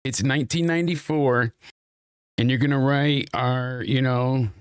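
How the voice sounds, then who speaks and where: A man's voice: medium pitch, steady tone, a little slow, smooth, dry recording, studio quality. Stuffed-up man ranting about music. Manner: rambling, opinionated, frequent restarts. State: stuffed up, voice worn. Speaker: wrestling review show host. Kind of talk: casual podcast chat.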